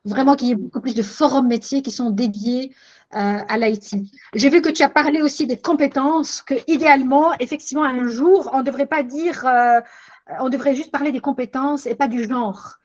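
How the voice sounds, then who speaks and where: Older woman, webinar host, high voice, slightly muffled call audio, reflective recapping. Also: fairly even intonation, normal speed, darkish tone. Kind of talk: webinar discussion, summarizing.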